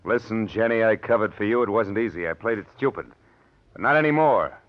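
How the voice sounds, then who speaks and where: An actor playing a menacing, smug blackmailer in an old radio drama, taunting with mock-friendly wheedling. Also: male voice, on the low side, fairly quick, close to an everyday voice. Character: menacing, smug male blackmailer, mockingly sweet. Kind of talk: 1940s radio drama acting. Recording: muffled old radio broadcast recording.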